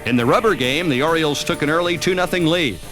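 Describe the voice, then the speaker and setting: Higher-pitched American male narrator, scripted sports highlight film, clear music bed behind. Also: fast, fairly bright tone. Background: background music.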